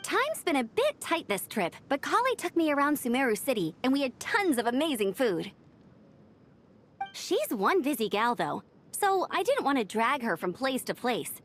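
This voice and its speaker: Upbeat, high-pitched young adventurer. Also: female voice, very animated, moderate pace, fairly bright tone, slight background noise. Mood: cheerful, chatty. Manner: chatty, enthusiastic, encouraging. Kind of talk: video game character dialogue. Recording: music under the voice.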